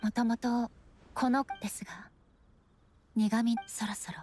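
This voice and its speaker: An actress playing a solemn, gentle warrior woman in a video game, regal and reserved, with polite, formal, measured speech. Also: a little high, steady tone, slight background noise, lightly acted. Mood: sombre, reflective. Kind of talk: Japanese video game voice acting. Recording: game music behind. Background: game music.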